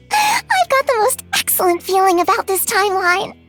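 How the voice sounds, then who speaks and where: A woman's voice: very high voice, very animated, normal speed, a bit bright, soft background music, heavily acted. An actress voicing a giddy, friendly female time dragon in a video game, bubbly, giggly and sing-song. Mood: giddy, delighted. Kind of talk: video game character voice lines.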